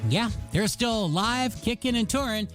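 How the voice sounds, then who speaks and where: A man's voice: extremely high pitch, lively intonation, moderate pace, a bit bright. An American man hosting as a radio DJ, delivering upbeat oldies patter in a jokey, self-mocking showman's manner. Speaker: radio DJ and host. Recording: music playing behind the voice. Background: pop and rock music.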